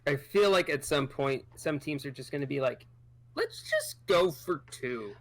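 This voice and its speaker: A thirty-year-old podcast co-host in casual sports chat, chatty and trailing off into low asides. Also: lively intonation, a little slow, dry recording.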